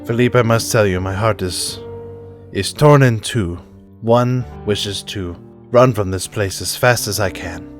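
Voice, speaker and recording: A man playing a weary, heartbroken young gentleman with halting, melodramatic phrasing in an improvised tabletop roleplay. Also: lowish voice, faint music behind, lightly acted. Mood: torn, dramatic.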